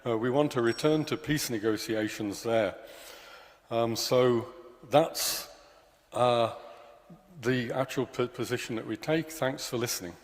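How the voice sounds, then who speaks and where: A man giving an earnest, halting candidate's speech at a hustings, with many fillers and restarts. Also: mid-range voice. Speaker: election candidate. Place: large church hall. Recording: long church hall reverb.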